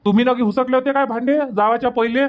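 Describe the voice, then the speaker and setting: Cartoon village husband, male performer, exasperated and insistent, pitched well up, Varhadi Marathi accent. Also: steady tone, very fast. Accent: Varhadi Marathi from Vidarbha. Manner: insistent, repetitive, reproachful. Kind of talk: cartoon comedy dubbing.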